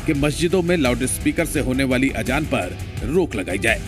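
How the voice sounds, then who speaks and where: Guy doing news voiceover, middle pitch, loud music bed behind him. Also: lively intonation, normal speed. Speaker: news narrator. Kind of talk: scripted news narration. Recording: music under the voice.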